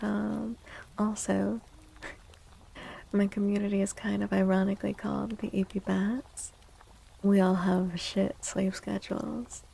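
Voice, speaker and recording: A woman streamer speaking in a soft, breathy ASMR style, often near a whisper, chatting gently and casually close to the mic. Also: medium pitch, low-quality recording. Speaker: live streamer hosting chat. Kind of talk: soft-spoken ASMR, casual live chat.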